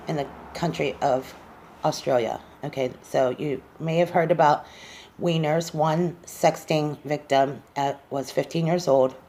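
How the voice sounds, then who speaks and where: A woman hosting a talk show, speaking in a halting, fragmented way as she reads out facts and comments on them. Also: sits low, darkish tone, a little hiss or hum. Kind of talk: monologue on social issues, reading out statistics.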